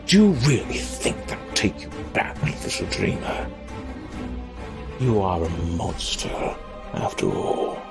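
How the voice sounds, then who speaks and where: A man playing a vengeful, taunting villain in an audio drama, with a mocking, drawn-out theatrical delivery. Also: mid-range voice. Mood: bitter taunting. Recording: music under the voice.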